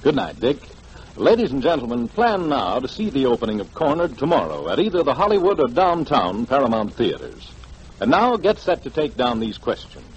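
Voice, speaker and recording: A man's voice: mid-range voice, wildly swooping pitch, moderate pace, slightly rough, highs cut off. A man with an American accent announcing a promotion in 1940s radio style.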